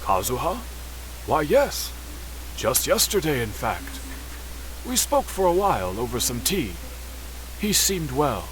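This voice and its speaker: A courteous, composed gentleman from an anime game, telling things in a formal, measured way. His voice sits at a middle pitch, with a faint hiss in the recording. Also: sing-song. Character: composed, courteous gentleman recounting a tragic clan history. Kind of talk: anime-style video game voice acting. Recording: gameplay capture with faint hiss.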